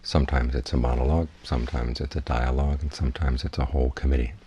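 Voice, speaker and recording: A man with a husky voice giving a guided meditation talk as a Buddhist teacher, in measured, plainspoken instruction. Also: a bass voice, steady tone, dark tone, lo-fi sound, a little hiss or hum.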